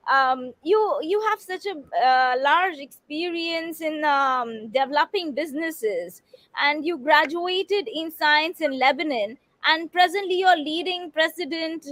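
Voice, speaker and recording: A woman's voice: high voice, fairly bright tone. A woman hosting an interview over a video call, polite and formal, with some hesitant fillers. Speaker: host. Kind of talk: interview host.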